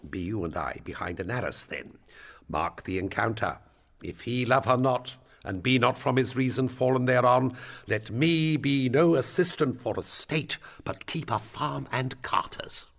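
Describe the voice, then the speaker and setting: Man acting gravelly, pompous old royal counsellor. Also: medium pitch, a bit bright. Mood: confident scheming. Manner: pompous, rambling, theatrical delivery with drawn-out words. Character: wordy, self-important elderly male royal counsellor, eager schemer. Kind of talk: Shakespearean stage-play acting.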